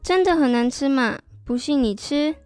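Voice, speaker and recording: A woman's voice: high voice, animated, normal speed, darkish tone, faint music behind. A female performer playing a little girl, an eager and plain-spoken younger sister in a children's radio drama. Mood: protesting.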